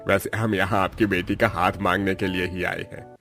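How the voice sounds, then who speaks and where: A genial, well-off middle-aged father in a cartoon tale, voiced by a man. His voice is low and measured, with faint music behind. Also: little pitch movement, fairly bright tone. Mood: amiable.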